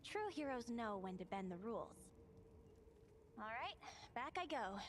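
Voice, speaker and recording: A woman's voice: a little high, very animated, measured pace, a little hiss or hum. A woman playing a spirited, eager young adventurer heroine in a video game, with a cute voice. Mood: determined musing.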